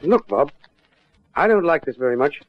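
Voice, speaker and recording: An actor playing a puzzled, worried shopkeeper in a classic radio detective drama. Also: male voice, on the high side, lively intonation, normal speed. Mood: uneasy. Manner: anxious, bewildered. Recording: muffled vintage radio drama recording.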